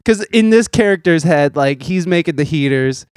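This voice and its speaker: A man hosting a podcast, talking excitably with lots of swearing, laughter and stumbling restarts. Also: a little high, normal speed, no room sound. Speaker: podcast host. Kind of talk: casual podcast conversation.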